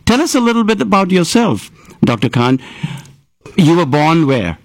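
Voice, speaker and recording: A man hosting a radio interview show, chatty and enthusiastic with quick interjections. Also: a little high, sing-song, dry recording, studio quality.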